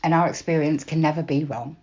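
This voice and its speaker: Female meditation guide, low register, soft and unhurried delivery, plenty of pauses, slightly dull recording. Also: steady tone. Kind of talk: guided body scan meditation, gentle instruction.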